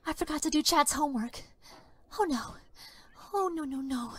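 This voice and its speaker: A woman's voice: high-pitched, slow, slight background noise. A woman playing a vain, fashion-minded teenage girl in a fan dub, breezy and dramatic. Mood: panicked. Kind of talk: amateur fandub acting.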